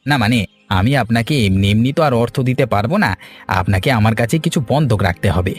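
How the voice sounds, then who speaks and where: A man's voice: medium pitch, animated, fairly quick, breathy edge. A man voicing a greedy, sly village trader in a cartoon fairy tale, with a glib, persuasive, scheming way of talking. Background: background music.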